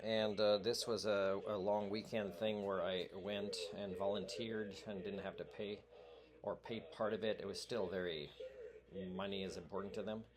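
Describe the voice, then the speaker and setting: A man's voice: fairly low pitch, fairly even intonation, moderate pace, a crowd in the background. A man whose first language is French, talking in a rambling, earnest vlog monologue full of fillers and restarts. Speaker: vlogger. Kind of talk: rambling monologue addressed to a radio host.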